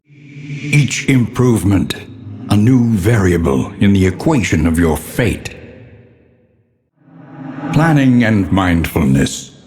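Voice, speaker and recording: A man's voice: low voice, slight background noise. An actor playing a grave, weary, learned older man who narrates a gothic horror game with solemn, ominous, theatrical diction. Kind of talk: dramatic scripted gothic narration. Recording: long reverberant hall echo.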